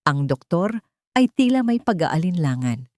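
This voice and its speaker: A woman narrates a scripted drama. Her voice sits on the low side for a woman, and the sound is dry, with very little room around it. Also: big pitch swings, unhurried, darkish tone, no room sound. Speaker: audio story narrator.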